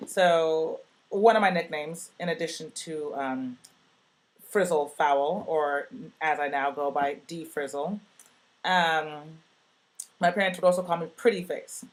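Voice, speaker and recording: A woman with a deep voice casually telling a personal story in a recorded message to a youth project, in a relaxed, chatty way full of fillers. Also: unhurried. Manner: chatty, relaxed, many "like" and "you know" fillers.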